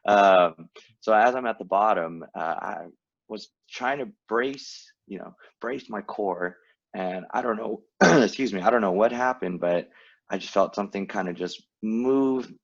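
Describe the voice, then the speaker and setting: A man in his late twenties, born in Vietnam and raised in California, co-hosting a podcast in casual chat, laid-back and quick to laugh. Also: fairly low pitch. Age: late 20s. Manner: laid-back, laughs often, lots of fillers. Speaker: podcast co-host. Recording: dull lo-fi sound.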